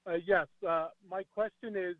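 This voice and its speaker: A man's voice: sits high, steady tone, no echo. Hesitant man in his 60s on a phone line. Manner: hesitant, halting with fillers. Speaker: caller. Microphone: telephone.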